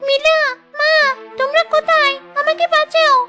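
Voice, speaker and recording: Woman playing a very high-pitched innocent little fairy girl. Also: level tone, moderate pace, pushed and bright, soft background music. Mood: desperate. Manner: childlike, earnest. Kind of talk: cartoon fairy-tale acting.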